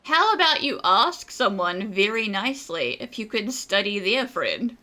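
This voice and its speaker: A woman's voice: medium pitch, big pitch swings, unhurried, bright, forceful tone. A woman streamer giving casual, playful and sarcastic reactions while playing a game. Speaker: gaming streamer. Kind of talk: casual reaction commentary over gameplay.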